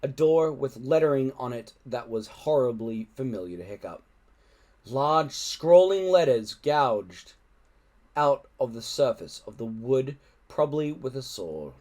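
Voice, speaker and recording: A man reads a children's adventure story aloud. His medium-pitched voice moves with animated intonation, in an expressive storyteller's style. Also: a little slow. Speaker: storybook reader.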